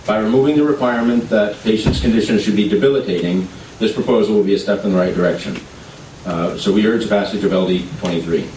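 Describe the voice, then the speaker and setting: A man with a low voice reads prepared testimony in a measured, formal tone. He sits at a distance from the microphone, and the hearing room adds noticeable echo. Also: background noise. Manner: measured, formal, reading from a statement. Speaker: advocacy group representative testifying. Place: legislative committee hearing room.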